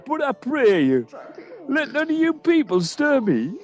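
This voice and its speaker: A man's voice: extremely high pitch, wildly swooping pitch, unhurried, lo-fi sound, exaggerated voice. An actor playing a foolish, self-important donkey-headed man with a mock-courtly manner in a radio comedy. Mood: sleepy, content. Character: Bottom, pompous foolish weaver transformed into a donkey-headed man. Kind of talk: radio play Shakespeare comedy acting.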